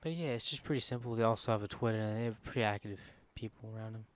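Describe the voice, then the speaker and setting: A man with a soft, quiet voice that sits a little low talks through a screen-recorded tutorial. He rambles informally and leans on fillers. He often stops mid-phrase to correct himself. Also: rapid-fire, no room sound. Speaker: streamer giving a software tutorial.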